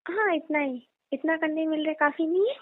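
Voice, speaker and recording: A young woman with a high voice talks in a private, casual phone chat. She is coy and keeps gently protesting and pleading. The sound is narrow and phone-like, with no room around it. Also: moderate pace, no echo. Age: young adult. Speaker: girlfriend on a phone call. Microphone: phone call. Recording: muffled narrow-band phone call recording.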